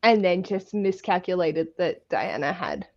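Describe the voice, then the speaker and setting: A woman's voice: fairly low pitch, sing-song, unhurried, muffled recording. A woman co-hosting a casual online book chat, blunt and slangy, with easy swearing. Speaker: co-host of an online book discussion.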